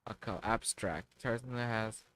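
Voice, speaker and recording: A man's voice: lowish voice, unhurried, fairly bright tone, dry recording. Wry young male game streamer. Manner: wry, reading aloud and joking. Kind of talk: casual livestream reaction.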